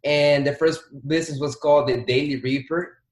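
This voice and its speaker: A man's voice: on the high side, fairly even intonation, normal speed. A man, an entrepreneur guest giving motivational advice in a casual interview, repeating words for emphasis. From Dominican Republic. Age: 20s. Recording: roomy echo.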